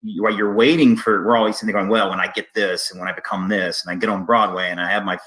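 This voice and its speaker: A man hosting a casual interview, speaking haltingly and often restarting his sentences. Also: fairly low pitch, fairly even intonation, fairly bright tone. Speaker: interview host, theater artistic director.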